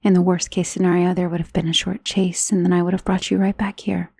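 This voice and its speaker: A woman playing a powerful, self-assured female vampire, protective and possessive of a lover, in an intimate ASMR romance roleplay that often drops toward a breathy whisper. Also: fairly low pitch, level tone, quick pace, a little mellow, dry recording. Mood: tender, soothing.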